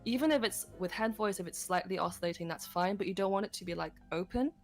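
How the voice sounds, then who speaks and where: A woman's voice: mid-range voice, fast talker, faint music behind. A woman explaining vocal technique on a livestream lesson. She talks casually, with many "like" fillers and vivid images. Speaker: singing instructor.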